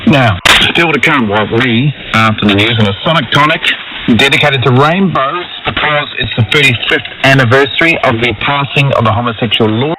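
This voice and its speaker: A man's voice: mid-range voice, normal speed, over a noisy crowd, poor audio. A man with a New Zealand accent doing radio continuity as a presenter. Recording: shortwave broadcast, very loud noise.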